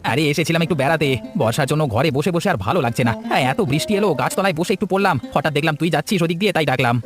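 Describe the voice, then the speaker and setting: A man's voice: fairly high pitch, animated, very fast, faint music behind, close to an everyday voice. A man voicing a scheming, scornful village man in a cartoon, with a chatty, insistent manner. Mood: chatty, curious. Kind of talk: Bengali village cartoon voice acting.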